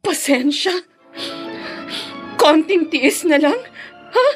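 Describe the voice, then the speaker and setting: High-pitched, anguished wronged wife. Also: female voice, expressive, slow, soft background music. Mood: tearful, defiant. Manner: tearful, impassioned, pleading. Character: hurt, fed-up wife and mother at breaking point. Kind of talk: radio drama acting.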